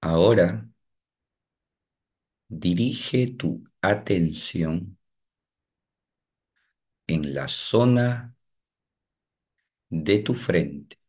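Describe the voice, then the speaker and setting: Slow, deep-voiced meditation guide man. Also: husky. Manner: slow, calm instructions with long pauses.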